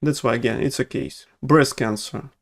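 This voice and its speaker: A man's voice: medium pitch, steady tone, moderate pace, vocal fry. Hesitant non-native English-speaking man. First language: not English. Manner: hesitant, many fillers and restarts. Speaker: oncologist. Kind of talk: educational medical explainer.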